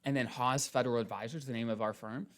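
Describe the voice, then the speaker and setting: A man's voice: mid-range voice, steady tone, fast talker. Man explaining things casually, step by step. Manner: conversational, step-by-step, with frequent check-in tags. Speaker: financial advisor presenting an explainer.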